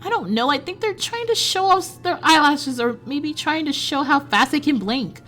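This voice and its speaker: A woman's voice: high voice, lively intonation, normal speed, fairly bright tone, pitched above the performer's own voice. Woman doing a blunt, hot-tempered troll girl. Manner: blunt and defensive.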